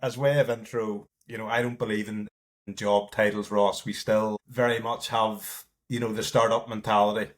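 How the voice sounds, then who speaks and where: A man's voice: lowish voice, flat delivery, moderate pace. A man with a Northern Irish accent talking frankly and informally as a guest in a casual interview. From north coast of Northern Ireland. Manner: informal, frank, peppered with "you know" and "like". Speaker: company partner and marketing lead, podcast guest.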